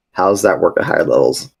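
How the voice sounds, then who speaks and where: A man's voice: sits low, gravelly, slightly rough. A man playing a tabletop game, chatting casually and hesitantly, often restarting his sentences. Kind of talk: casual improvised game chat.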